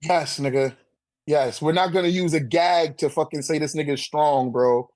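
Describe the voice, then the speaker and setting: A man's voice: fairly high pitch, normal speed, a little mellow, dry recording. A man debating casually in profane slang, repeating his phrases for emphasis.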